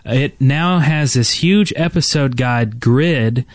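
A man's voice: medium pitch, slow pace, no room sound, clean studio sound. A man hosting a podcast, chatting casually. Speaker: podcast host.

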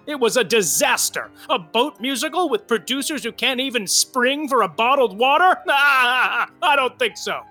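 An actor playing a bitter, gossipy theater veteran in an audio comedy, with an arch, theatrical manner. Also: male voice, very high voice, pushed and bright, full character voice.

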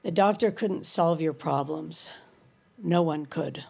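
A woman's voice: low voice, slow pace, gravelly, a little mellow, breathy edge, heavily acted. An actress playing a cold, guarded older mother in an audio drama. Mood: cold, fearful.

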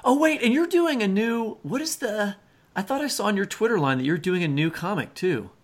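A man's voice: high voice, animated, fairly quick. A middle-aged man co-hosting a podcast in a casual, chatty style. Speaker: podcast co-host. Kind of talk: casual chat.

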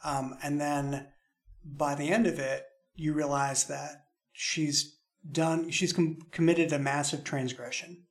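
A man discussing his audio drama writing in a thoughtful, halting way, restating phrases as he explains. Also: on the high side, fairly even intonation. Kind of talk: reflective discussion of own writing.

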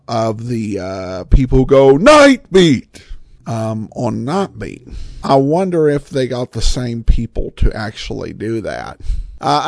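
A man hosting a podcast solo, giving casual commentary in a halting, reflective, self-deprecating way. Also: medium pitch, a little mellow, dry recording, clean studio sound. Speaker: podcast host.

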